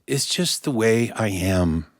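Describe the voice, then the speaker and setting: A man's voice: on the low side, lively intonation, takes it slowly, no echo. An older man voicing an unhappy king who answers his wife mildly and with resignation. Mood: resigned. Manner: mild, resigned, reassuring. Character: weary, listless unhappy king.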